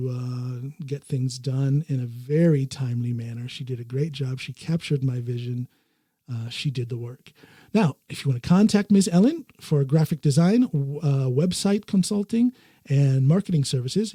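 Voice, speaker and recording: A man hosting a casual podcast, easygoing and quick to laugh, often restarting his sentences. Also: medium pitch, dark tone, no room sound, studio quality. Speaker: podcast host.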